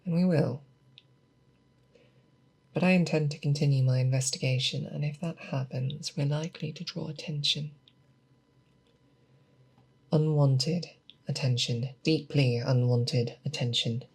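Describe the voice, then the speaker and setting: A woman playing a seasoned, grave and protective female monster hunter in a slow, hushed, breathy ASMR roleplay, close to the microphone. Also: low voice, fairly even intonation, exaggerated voice. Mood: grave, determined. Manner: slow, deliberate, hushed, with pauses. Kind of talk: ASMR roleplay, close soft speech and whispers. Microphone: close binaural microphone.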